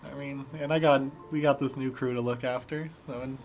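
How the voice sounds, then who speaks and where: Mid-pitched man playing a tabletop game. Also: fairly even intonation, a little fast, a little mellow, soft background music. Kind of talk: casual roleplay chat.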